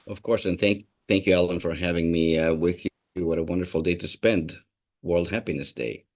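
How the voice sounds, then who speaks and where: Native Greek speaker, gravelly and low, reflective keynote manner, muffled call audio. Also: male voice, fairly even intonation, darkish tone. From Greece. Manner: measured, storytelling, with many restarts. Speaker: wellness and happiness speaker. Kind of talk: reflective talk on a video call. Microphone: video call.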